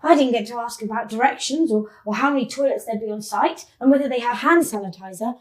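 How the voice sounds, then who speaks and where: A woman playing a fussy, deadpan character in a short comedy film. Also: on the high side, fast, natural voice.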